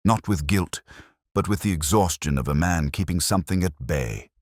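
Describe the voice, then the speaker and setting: Male narrator for detective fiction: extremely low register, expressive pitch movement, measured and brooding, close dry sound. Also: dry recording. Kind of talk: scripted first-person detective story narration.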